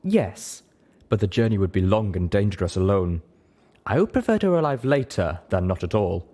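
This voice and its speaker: A man's voice: on the low side, animated, moderate pace, dark tone. A man voicing a reserved zebra mother who speaks formally and steadily, with sorrow held in. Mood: composed.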